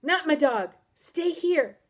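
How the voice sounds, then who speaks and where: A woman's voice: medium pitch, animated, measured pace, darkish tone. A woman voicing a frightened, simple-minded companion in an audiobook story, pleading in short broken phrases. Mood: alarmed, pleading.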